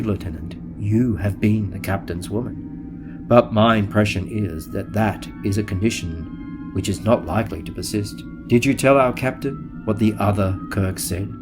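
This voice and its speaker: An actor playing a cold, calculating alien starship officer in an audio drama, speaking in a measured, formal, deadpan way. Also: male voice, fairly low pitch, close to an everyday voice. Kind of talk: audio drama narration and dialogue.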